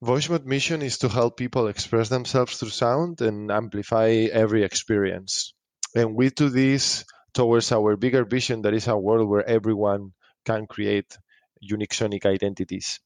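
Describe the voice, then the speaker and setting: Spanish-accented man, fairly low, dry recording. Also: fairly even intonation, moderate pace, no room sound. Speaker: company co-founder and CEO, interview guest. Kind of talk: explanatory interview answers.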